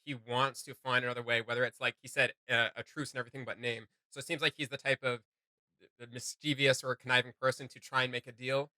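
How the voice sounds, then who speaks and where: A man's voice: mid-range voice, level tone, fast, pushed and bright, no echo. A man hosting a reaction video, thinking aloud in casual, tentative commentary.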